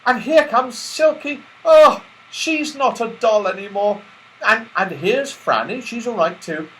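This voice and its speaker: A man voicing an excitable, sweet little girl in a storybook, gasping and exclaiming. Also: extremely high pitch, measured pace, voice pushed up, heavily acted, poor audio. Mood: delighted.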